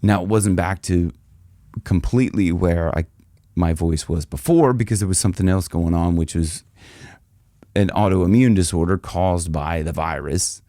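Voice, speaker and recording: Man, low voice, dry close sound, rambling casual talk, vocal coach. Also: normal speed, warm tone, dry recording. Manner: rambling, loose, frequent restarts and "like". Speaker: vocal coach and professional rock singer. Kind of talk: casual direct-to-camera advice.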